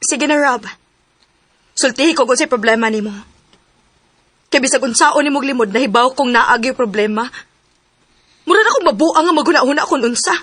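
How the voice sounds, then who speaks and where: A woman's voice: sits high, a little fast, pauses often, a bit bright, no room sound. Anxious young girlfriend, radio drama, questioning, dry close sound. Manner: pleading, questioning. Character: worried young woman in a long relationship.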